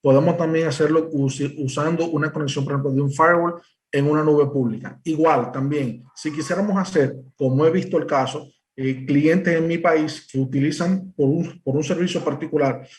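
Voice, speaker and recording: Dominican guy presenting network tech, kinda high voice, lots of eh and restarts. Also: steady tone, moderate pace, a little mellow, a little hiss or hum. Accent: Dominican Spanish. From Dominican Republic. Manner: explanatory, filler-heavy with frequent restarts. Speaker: presales engineer presenting. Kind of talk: technical presentation.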